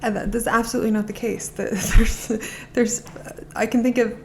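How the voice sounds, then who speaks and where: A woman's voice: on the high side, steady tone, normal speed, vocal fry, a little mellow, slight background noise. A woman speaking as a guest on a podcast, talking eagerly and often restarting her phrases. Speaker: guest, art auction specialist. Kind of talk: casual conversation.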